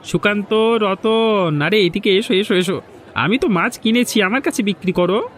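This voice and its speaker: A man's voice: high voice, normal speed, a faint crowd, slight background noise, natural voice. A man playing a bold, cheeky stranger visiting a village in a cartoon folk tale, with a confident manner. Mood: insistent. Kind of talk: Bengali animated folk-tale dialogue.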